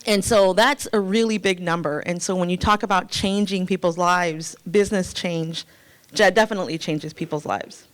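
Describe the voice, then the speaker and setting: Smooth low-voiced woman presenting on stage. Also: steady tone, moderate pace. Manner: filler-heavy, restarting often. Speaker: chief program officer of a nonprofit. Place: large hotel ballroom. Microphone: handheld microphone.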